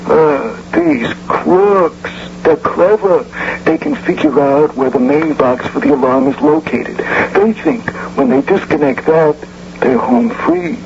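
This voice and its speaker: High-voiced, eager alarm system boaster. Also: male voice, sing-song, slow, vocal fry, a little mellow, exaggerated voice. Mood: proud, confiding. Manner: enthusiastic, explanatory, short punchy phrases. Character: eager, proud man explaining an alarm system. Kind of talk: radio mystery drama dialogue. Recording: dull, faintly noisy old radio broadcast.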